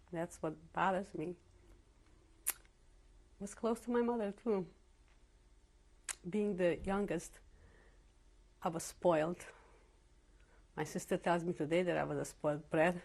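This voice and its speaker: A woman's voice: fairly low pitch, a little slow, long pauses, a little mellow. A woman in her seventies from Czechoslovakia, whose first language is not English, recalling her early life in an oral history interview with frequent hesitations. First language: Yiddish or Slovak. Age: 70s. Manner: reflective, with frequent hesitations and restarts. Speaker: interviewee, Holocaust survivor. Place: home interview in Cleveland.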